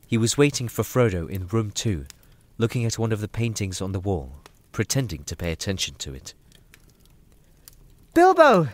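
Animated male storybook narrator. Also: lowish voice, fairly quick, few pauses, no room sound.

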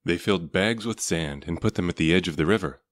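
A man reading a children's story aloud as narrator, with measured, deliberate phrasing. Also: low-pitched. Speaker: storybook reader.

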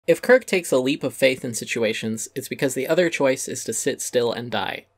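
A man's voice: mid-range voice, moderate pace. A man reading a scripted essay aloud as narrator, articulate and emphatic.

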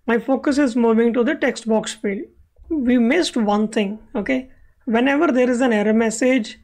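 A woman's voice: on the high side, darkish tone. A woman explaining code step by step as the instructor in a screencast tutorial. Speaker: web accessibility instructor.

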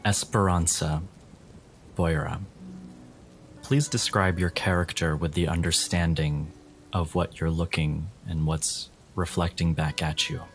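A man narrating a tabletop game as game master, in a deliberate, dramatic and ominous storytelling style. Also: deep voice, fairly even intonation, soft background music. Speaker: game master of a role-playing podcast.

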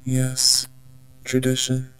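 A cold, menacing spirit speaks from a haunted computer in a horror story, put on by a narrator. Its delivery is terse and very flat, drawn out slowly and faintly amused, over light noise and keyboard clicks. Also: medium pitch, voice pushed down. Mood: amused, taunting. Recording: noisy, with keyboard typing clicks.

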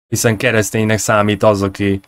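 A man in his thirties hosting a conversational discussion, halting as he searches for words and corrects himself. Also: lowish voice, level tone, no room sound, clean studio sound. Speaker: host, interviewer. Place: small indoor room at home. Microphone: close desk microphone on a video call.

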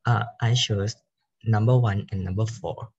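A man's voice: on the low side, fairly even intonation, measured pace, a little mellow, husky, dull audio. A man, a student giving prepared, formal interview answers over a video call. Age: 20s. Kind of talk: rehearsed job interview answers.

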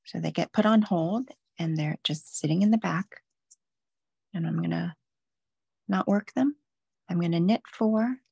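Woman knitting teacher for a live demo; calm stepwise explainer, slightly low and fry-tinged. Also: measured pace, pauses often, dry recording. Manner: patient step-by-step explaining. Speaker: knitting instructor. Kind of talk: live online craft demo.

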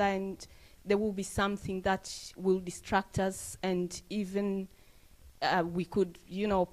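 A woman, giving an earnest, halting testimony into a handheld mic indoors. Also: medium pitch. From Tanzania. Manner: earnest, halting with fillers and restarts. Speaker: church member giving testimony. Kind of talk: on-camera testimony interview. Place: indoors, plain room. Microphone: handheld interview mic held up to her.

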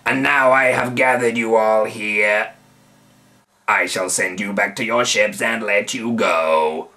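A man voicing a huge, cold robot bull pirate chief in a low, grating, domineering voice. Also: pushed and bright, heavily acted. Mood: cold threat. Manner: slow, booming, domineering.

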